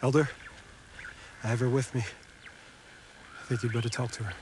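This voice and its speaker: An actor playing a dutiful, uneasy cult follower in a zombie apocalypse video game, with an earnest manner. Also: male voice, medium pitch, flat delivery, unhurried, heavy background noise, lightly acted. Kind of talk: video game cutscene acting.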